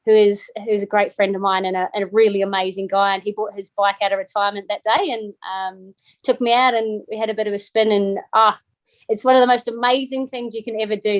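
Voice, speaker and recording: A middle-aged woman with a New Zealand accent talks freely as an interview guest. She fills her talk with ums and restarts, at an ordinary middling pitch. Her voice sounds dry and close over a video call. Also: fairly even intonation, fairly quick, no echo. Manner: chatty, many ums and restarts. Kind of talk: casual interview chat.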